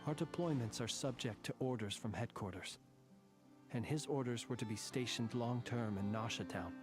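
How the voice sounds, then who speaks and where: Male, acting composed, courtly game soldier with quiet menace, formal measured delivery, mid pitch, soft music underneath. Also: natural voice. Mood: somber. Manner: formal, measured, deliberate phrasing. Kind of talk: scripted video game voice acting.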